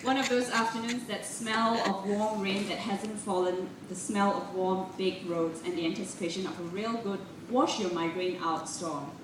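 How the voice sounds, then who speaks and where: A woman gives an expressive, deliberate live reading of literary prose and poetry. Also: medium pitch. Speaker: reader at a literary festival. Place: live event room, recorded from a distance. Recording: roomy echo, distant mic, clearly noisy.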